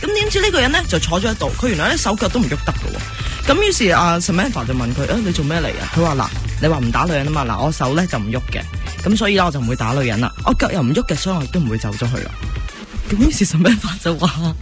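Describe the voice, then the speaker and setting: A woman guesting on a Hong Kong radio talk show in a Hong Kong Cantonese accent, telling jokes in a giggly, animated storytelling manner. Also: low voice, very fast, over music. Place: radio studio.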